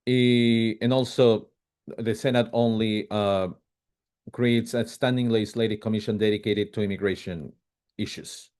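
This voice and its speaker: A man's voice: on the low side, fairly even intonation, a little mellow. A man from Chile, giving a policy presentation over a video call with frequent fillers and restarts. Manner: halting, many fillers and restarts, quick bursts. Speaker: advocacy presenter.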